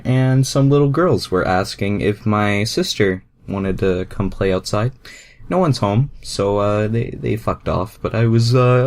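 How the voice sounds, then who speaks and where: A man's voice: on the low side, darkish tone. A man reading fan fiction aloud as a casual amateur narrator, stumbling over and misreading words.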